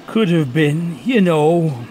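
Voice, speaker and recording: A man's voice: on the high side, animated, mellow. A man playing a nostalgic, contrary football supporter in a radio play, talking in a ruminative way. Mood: reminiscing. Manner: slow, ruminative. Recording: faint train noise behind.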